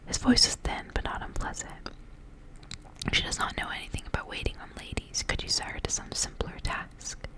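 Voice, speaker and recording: A woman doing ASMR, reading a story aloud in a soft, breathy whisper. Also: moderate pace.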